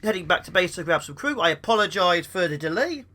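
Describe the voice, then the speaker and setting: Young man, fluey and sniffly, gaming commentary, bright tense voice, animated delivery. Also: high voice, quick pace. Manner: excitable, mutters at enemy ships. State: sniffly with flu, sore throat. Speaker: gaming YouTuber. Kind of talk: let's play commentary.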